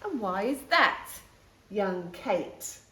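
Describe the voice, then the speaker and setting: Stern military dog trainer for a picture book female reader, brusque, unhurried delivery. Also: medium pitch, very animated, fairly bright tone, heavily acted. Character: gruff, scary, moustached male dog-training brigadier. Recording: roomy, echoing room sound.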